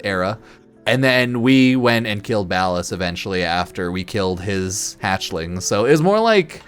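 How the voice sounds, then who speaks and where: Male game streamer, mid-pitched, loose tipsy rambling with crude slang, music underneath. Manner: slightly tipsy, loose, halting and repetitive; informal, crude slang and swearing. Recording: music behind the voice. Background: background music.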